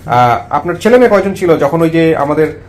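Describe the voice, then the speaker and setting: Male interview host, Bangladeshi accent, rapid delivery, repeats answers and probes, some background room noise. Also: fairly high pitch, lively intonation. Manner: repeats answers back and asks short probing questions. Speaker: host of a family reunion show. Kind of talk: conversational interviewing.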